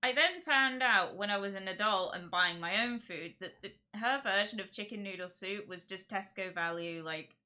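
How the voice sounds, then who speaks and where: A woman's voice: medium pitch, moderate pace, few pauses, bright, forceful tone. A woman, from Czechia, chatting and rambling on a live stream and giggling often. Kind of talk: casual live-stream chat.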